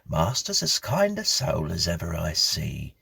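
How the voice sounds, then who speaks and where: A man's voice: deep voice, wildly swooping pitch, a little slow, vocal fry, pushed and bright, slightly rough, no echo, clean studio sound, heavily acted. A man voicing a comfortable, chatty country housekeeper woman in her fifties, gossiping in rambling rustic phrases for a storybook.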